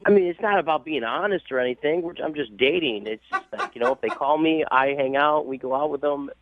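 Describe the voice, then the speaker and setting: A man's voice: on the high side, brisk. Tinny phone-line man, hedging and self-justifying. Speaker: caller on a radio show. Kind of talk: casual phone conversation. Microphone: telephone.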